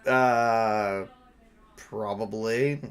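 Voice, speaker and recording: A man acting as game master, improvising tabletop roleplay conversationally in a halting, rambling way. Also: on the low side, fairly even intonation, smooth.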